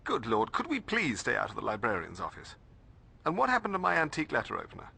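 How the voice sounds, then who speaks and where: An actor playing a bookish, middle-aged British librarian mentor in a video game, formal and precise, hesitating when puzzled. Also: male voice, medium pitch, wildly swooping pitch, fast, vocal fry, pushed and bright, breathy edge, exaggerated voice. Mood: exasperated.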